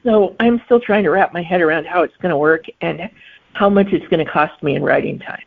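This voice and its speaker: A middle-aged woman, a guest author, answering interview questions in a thoughtful way and pausing to find her words. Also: lowish voice, vocal fry. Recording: phone-like remote line.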